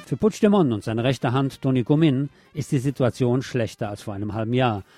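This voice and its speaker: A man's voice: mid-range voice, a little fast, over loud music. A man presenting scripted radio news with a wry, colloquial touch. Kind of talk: scripted news magazine narration.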